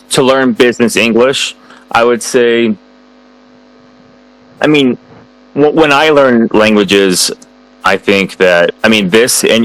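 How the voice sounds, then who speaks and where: A man's voice: on the low side, fairly bright tone, dry recording, poor audio. An American man answering students' questions in a casual online chat, hesitant and full of fillers and restarts. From United States. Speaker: guest speaker answering students.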